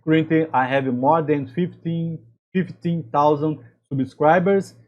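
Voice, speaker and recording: A man from Brazil, a native Portuguese speaker with a Brazilian accent, giving career advice to camera as a chemical engineer and channel host, in halting English with frequent restarts. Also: a little high, lo-fi sound. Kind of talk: talking-to-camera advice video.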